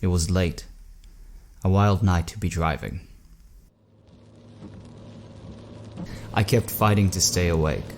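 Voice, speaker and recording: A man's voice: sits low, normal speed, slight background noise. An actor playing a weary, affable man driving at night in an audio drama, conversational, hesitant and wry. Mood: weary, reflective. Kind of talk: audio drama acting, narration and dialogue.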